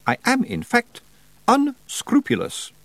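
Guy doing a fussy know-it-all witch lady, high and slow. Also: huge pitch swings, a bit bright, no echo, full character voice. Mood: pedantic. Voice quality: prim, pedantic. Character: prim, clever middle-aged woman teacher-witch.

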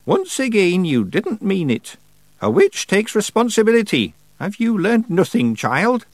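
A stern old witch, played by a male reader, scolds in a high, swooping voice. She is sharp-tongued and sardonic, a storybook crone. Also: huge pitch swings, a little slow, dry recording, heavily acted. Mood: scornful.

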